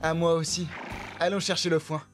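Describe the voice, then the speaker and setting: A man voicing a calm, reassuring teenage boy horse trainer in an animated series, soothing and matter-of-fact, over music. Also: a little high, moderate pace, natural voice. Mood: helpful, calm. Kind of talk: animated series voice acting, French dub.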